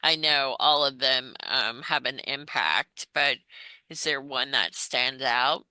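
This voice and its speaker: Slow, low-voiced woman, very bright and crisp. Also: no room sound, rough recording. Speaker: interviewer. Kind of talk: conversational interview questions.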